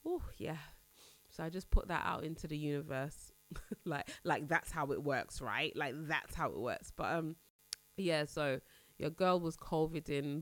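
Low-voiced stuffy-nosed woman. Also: dry recording. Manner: chatty, candid, rambling with restarts. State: congested, nasal from a cold. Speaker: podcast host. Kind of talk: casual personal chat.

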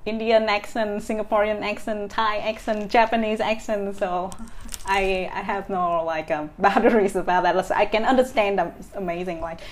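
A woman's voice: medium pitch, steady tone, normal speed. A woman in her thirties from Vietnam with a Vietnamese accent, speaking as an interview guest with many fillers and restarts. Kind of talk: casual interview.

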